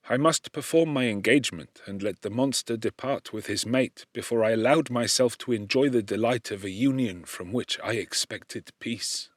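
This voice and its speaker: A man reading a classic novel as an audiobook narrator, in a measured, expressive storytelling manner with formal diction. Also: medium pitch, no echo, studio quality. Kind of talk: dramatic literary audiobook narration.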